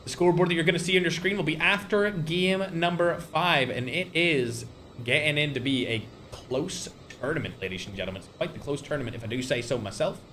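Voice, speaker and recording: Young male stream caster, bright forceful tone, slightly high, chatty live esports commentary. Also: a little fast, slight background noise. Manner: chatty, talks straight to chat, animated bursts. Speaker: tournament host and caster.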